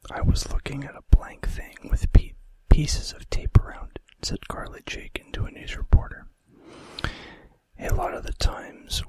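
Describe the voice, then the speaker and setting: A man narrating facts for an ASMR video in a breathy, unvoiced whisper with casual, conversational asides. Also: fairly high pitch, rough recording.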